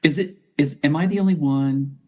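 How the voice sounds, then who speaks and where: A man's voice: on the high side, normal speed, darkish tone. A man talking casually as a panelist on a podcast. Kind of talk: casual chat.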